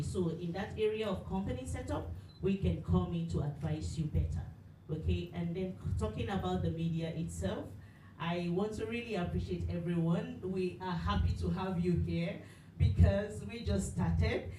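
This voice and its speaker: Woman, event host at a studio workshop, chatty and filler-heavy, distant mic, echoey room. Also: lowish voice. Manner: warm, chatty, many "you know" fillers. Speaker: studio representative. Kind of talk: welcoming speech at a live event. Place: studio event space with an audience. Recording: roomy, distant, noisy live room.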